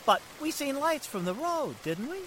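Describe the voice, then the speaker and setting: A man's voice: very high voice, big pitch swings, moderate pace. A man playing a brash, teasing teenage boyfriend with cocky, slangy speech, in the style of 1940s radio drama. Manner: slangy, cocky, casual grammar. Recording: noisy recording with rain.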